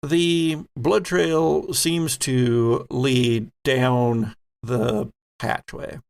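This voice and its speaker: A man narrating a tabletop horror role-playing game as game master, setting scenes deliberately with pauses mid-phrase. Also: medium pitch, steady tone, vocal fry, clean tone.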